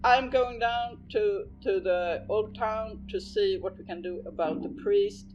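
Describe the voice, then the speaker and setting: A man's voice: sits high, dull audio, a little hiss or hum. Man playing a stammering, harried cop. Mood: urgent. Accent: Swedish. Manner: stammering, hurried. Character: harried male police officer on the phone.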